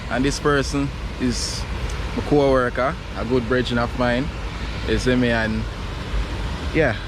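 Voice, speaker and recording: A young Jamaican man with a Jamaican accent, hosting a casual vlog by a river, relaxed and quick to laugh, dropping slang. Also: medium pitch. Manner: laid-back, laughs often, Jamaican slang. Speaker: vlogger host. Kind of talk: casual outdoor vlog chat. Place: outdoors by a river. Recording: outdoor noise behind.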